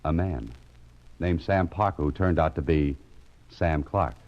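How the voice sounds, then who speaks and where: An actor playing a calm, wry private investigator in 1940s radio style, speaking in a dry, understated manner. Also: male voice, rumbling low, measured pace. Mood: matter-of-fact. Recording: dull 1940s radio recording, music behind.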